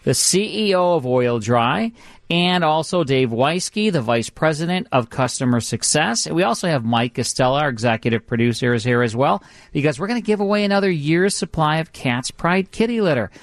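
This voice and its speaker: A man's voice: fairly high pitch, expressive, fairly quick, no echo. Chatty male radio host, dry studio. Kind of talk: chatty live radio show hosting.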